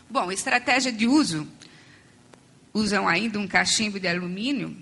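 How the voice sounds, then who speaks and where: A woman with a hoarse voice, close to losing it, gives a conference talk on treatment, explaining step by step with frequent fillers and restarts. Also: medium pitch, sharp tone. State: losing her voice. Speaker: conference panel speaker on addiction treatment. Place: conference hall.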